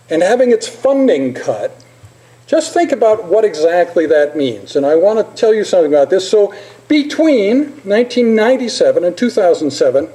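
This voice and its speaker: A man giving an informal, anecdotal presentation as a physician, speaking in a halting, digressive storytelling way. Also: high voice, mellow, poor audio.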